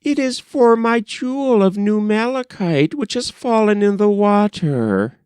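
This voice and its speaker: A man's voice: sits high, expressive, measured pace, darkish tone, clear, resonant tone, no echo, clean studio sound, pitched above the performer's own voice. A man voicing a young maiden, plaintive and stubborn, in a storybook reading. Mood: plaintive, insistent.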